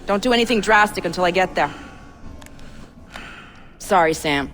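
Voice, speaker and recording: An actress playing a cool, resolute undercover agent with a controlled, matter-of-fact delivery in a dramatic video game. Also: female voice, lowish voice, animated, normal speed, fairly bright tone. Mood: brisk, urgent.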